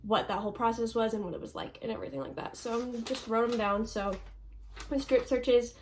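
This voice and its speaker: A woman's voice: fairly high pitch, a little fast, a little hiss or hum. A young woman vlogger with a stuffy nose, answering viewer questions in a chatty way, full of ums and likes. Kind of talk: casual Q&A vlog.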